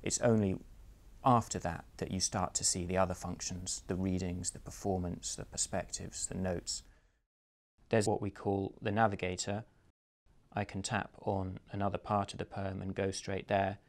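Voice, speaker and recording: A man with a very deep voice explains a project in measured, matter-of-fact terms. His intonation stays flat and even. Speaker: app publisher. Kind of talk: interview explanation.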